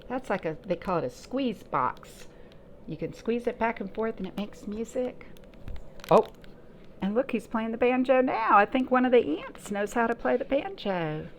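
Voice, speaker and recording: A woman reading and chatting to young children as a storytime host, in an expressive sing-song way with lots of simple questions. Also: a little high, normal speed. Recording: noticeable background noise.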